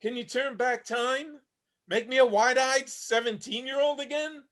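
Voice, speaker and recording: A man's voice: extremely high pitch, fairly even intonation, unhurried, a bit bright. A man acting a haunted, homeless older Vietnam veteran, weary and bitter, telling his story plainly in a staged play reading. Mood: pleading, wry. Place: online video call reading.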